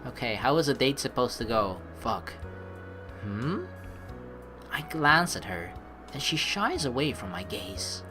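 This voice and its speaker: A woman's voice: very deep voice, sing-song, a little slow, long pauses. A woman let's player reading game text aloud expressively, mixed with casual commentary. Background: game music.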